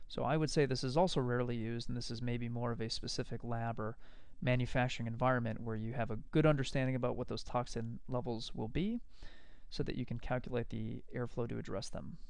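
A man giving a measured, explanatory recorded lecture over slides. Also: mid-range voice, gravelly, smooth, no echo.

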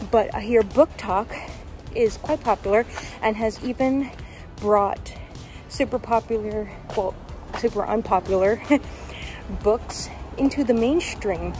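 A woman's voice: on the high side, takes it slowly, long pauses, darkish tone, soft background music, low-quality recording. A young woman talking casually and informally to the camera as she walks along city streets, with jokey asides. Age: young adult. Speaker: vlogger and author. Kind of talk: casual walk-and-talk vlog. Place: outdoors on city streets, walking.

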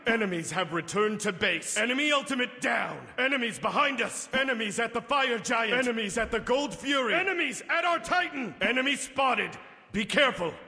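An actor playing a vengeful winged god of death in a video game, speaking in grave, menacing, theatrical pronouncements. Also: male voice, very high voice, sharp tone, long reverb tail, heavily acted. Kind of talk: video game character voice lines.

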